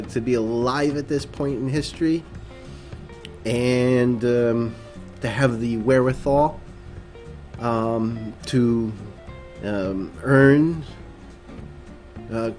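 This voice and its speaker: A man's voice: mid-range voice, a little mellow. A man hosting a casual late-night livestream, talking loosely with many ums and uhs and reading viewer messages aloud into a desk microphone. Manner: rambling, many fillers, reads chat aloud. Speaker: livestream host.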